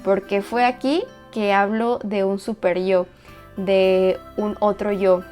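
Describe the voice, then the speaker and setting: A woman dancer and choreographer calmly walking through how she built a dance video, speaking in a measured, reflective way with pauses. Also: medium pitch, soft background music. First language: Spanish. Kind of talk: explanatory walkthrough.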